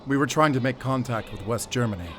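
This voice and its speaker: Man playing an insistent captive, medium pitch. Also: fast, soft background music, close to an everyday voice. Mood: guarded, serious. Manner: insistent, earnest. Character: urgent, earnest male captive pleading his case. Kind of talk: cinematic audio drama acting. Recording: faint vehicle noise behind.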